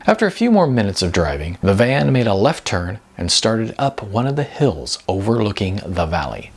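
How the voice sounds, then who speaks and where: A man's voice: fairly low pitch, very animated, moderate pace, husky. A man reading scripted fiction as an audiobook narrator.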